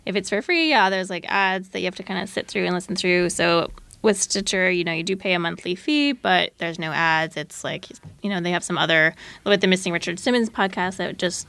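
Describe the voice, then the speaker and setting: A woman's voice: fairly low pitch, brisk, fairly bright tone, no room sound. A woman speaking as a podcast producer in a casual interview, tentative and hedging, sprinkling in "like" and "you know".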